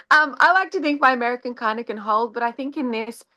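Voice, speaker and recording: A woman's voice: on the high side, brisk, sharp tone, dry recording. An Australian woman, an actress, chatting casually in an interview and laughing often.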